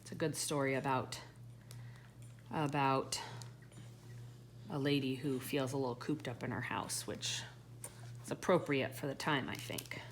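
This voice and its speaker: A woman's voice: rumbling low, measured pace, long pauses. A woman reading a children's picture book aloud to a young child at home. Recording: noisy home recording.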